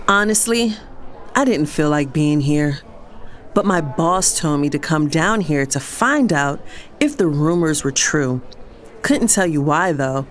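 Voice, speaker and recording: Sassy young female narrator-lead actress for audio drama, lively and fed up, slight noise on the recording. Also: sits low. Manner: exasperated, sassy. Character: fed-up, sassy young career woman narrating her life.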